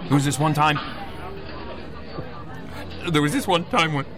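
An actor playing a superhero's devoted childhood friend, a gravelly, slangy, rambling regular guy in a mockumentary comedy. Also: a little high, measured pace, crowd noise, lightly acted. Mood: nostalgic. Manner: folksy, slangy, rambling storytelling. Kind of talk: mockumentary interview in a comedic audio drama.

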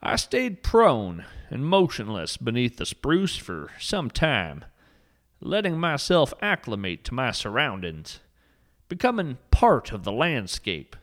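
A man's voice: mid-range voice, wildly swooping pitch, takes it slowly, a bit bright. A man narrating a scripted story with dry, mock-serious humor.

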